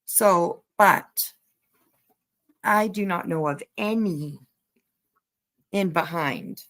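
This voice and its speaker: A woman's voice: on the low side, drawn-out words, fairly bright tone. A woman hosting a casual livestream commentary, talking bluntly and emphatically, repeating phrases for emphasis and swearing casually. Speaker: livestream host.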